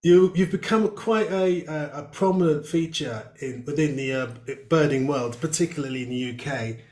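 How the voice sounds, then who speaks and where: A man's voice: fairly high pitch, normal speed, a little mellow, roomy sound. A man hosting a casual video-call interview, chatty and rambling with many fillers. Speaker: host.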